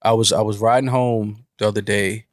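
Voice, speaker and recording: A man co-hosting a podcast in casual chat. He is a little nasal from a cold and tells stories in an animated way, laughing often and swearing casually. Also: fairly low pitch, fairly quick, dry recording, studio quality. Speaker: podcast co-host.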